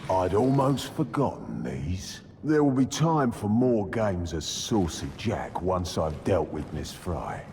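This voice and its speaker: An actor voicing a menacing, taunting male serial killer in a video game, with a theatrical, drawn-out delivery. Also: sits low, very animated, full character voice. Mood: gloating menace. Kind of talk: video game villain acting. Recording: loud noise behind the voice.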